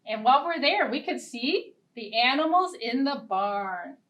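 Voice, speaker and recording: A young American woman hosting a storytime, speaking in an animated way to young children. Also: fairly high pitch, measured pace, far from the mic. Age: young adult. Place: indoor storytime set with backdrop.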